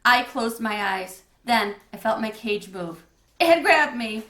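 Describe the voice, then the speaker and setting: A woman's voice: fairly high pitch, animated, measured pace, fairly bright tone. A woman reading a children's storybook aloud as narrator in an echoey room. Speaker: read-aloud reader.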